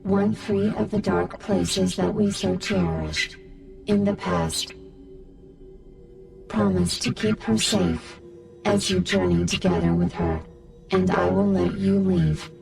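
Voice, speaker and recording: A woman voicing a solemn, cryptic guardian spirit king in a video game, with a creaky, gravelly voice and a grandiose manner. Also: medium pitch, steady tone, a little mellow, distant mic. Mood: solemn, weary. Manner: slow, grandiose, pronouncing. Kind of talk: scripted video game dialogue. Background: music.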